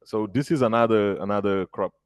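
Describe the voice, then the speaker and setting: Guy on a webinar call, hesitant, lots of uhs, dry low voice. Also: brisk, clear, resonant tone, dry recording. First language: French. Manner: hesitant, many fillers and restarts. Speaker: agritech founder answering questions. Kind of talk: webinar Q&A answer. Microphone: video call.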